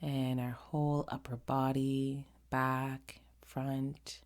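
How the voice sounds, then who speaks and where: A woman leading a guided meditation in a gentle, unhurried manner, pausing often between short phrases. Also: a bass voice, level tone.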